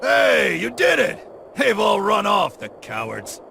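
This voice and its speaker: A man's voice: sits high, huge pitch swings, slow pace, gravelly, heavily acted. A man playing a brash, boastful, sarcastic young fighter in a video game cutscene. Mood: fired up. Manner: cocky, sarcastic drawling. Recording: loud noise behind game dialogue.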